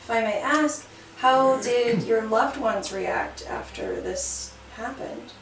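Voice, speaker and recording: A woman hosting an interview, gently affirming and asking quick follow-up questions. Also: fairly high pitch, echoey room, far from the mic, a little hiss or hum, low-quality recording. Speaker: host. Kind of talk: interview host.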